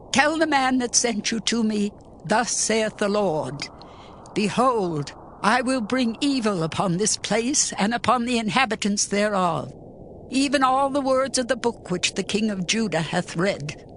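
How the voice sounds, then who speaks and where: A woman's voice: mid-range voice, expressive, a little hiss or hum, natural voice. A woman playing a stern prophetess in a dramatized scripture reading, solemnly declaiming divine judgment. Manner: deliberate, oracular, declamatory.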